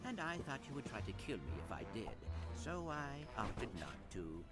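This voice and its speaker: A man's voice: mid-range voice, huge pitch swings, normal speed. A man voicing a small robot secret agent in a video game, formal, precise and drily courteous. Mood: dry, coolly polite. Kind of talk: video game character acting. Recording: loud game music behind the voice.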